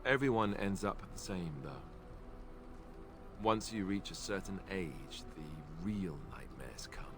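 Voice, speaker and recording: A man's voice: sits low, slow pace, over music. An actor voicing a young male warrior in a video game, who is joking and self-deprecating with a dry, wry humor. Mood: somber.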